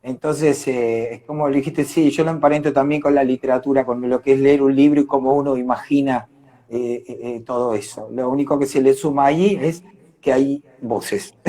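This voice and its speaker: An Argentine man speaks as a guest in a casual live online chat. His pitch is average for a man. He is reflective and often feels his way through a phrase. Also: fairly even intonation, moderate pace, warm tone. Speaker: interview guest, radio drama actor. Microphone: online video call.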